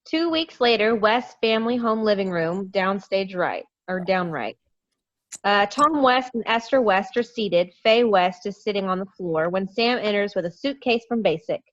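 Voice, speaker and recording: A woman's voice: mid-range voice, animated, moderate pace, lo-fi sound. A woman reading stage directions aloud as narrator at a play table read, over a video call.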